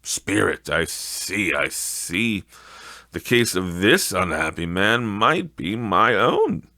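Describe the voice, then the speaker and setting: A man's voice: low-pitched, very animated, takes it slowly, pushed and bright, dry recording, heavily acted. A man acting a remorseful old miser in an audiobook story, pleading solemnly and dramatically. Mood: horrified.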